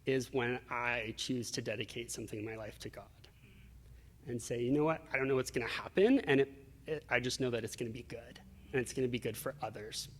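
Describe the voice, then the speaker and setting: A man's voice: medium pitch, fairly even intonation, a little fast. A man being interviewed, telling personal stories in a reflective, casual way with acted-out asides. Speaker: interview guest. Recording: reverberant hall-like echo.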